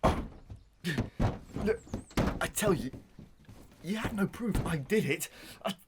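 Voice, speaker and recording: A man playing a cornered, frantic villainous squire in a Victorian melodrama, halting and repeating himself. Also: high-pitched, lively intonation, very noisy, heavily acted. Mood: panicked denial. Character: cornered, desperate villainous squire accused of murder. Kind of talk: Victorian melodrama audio acting.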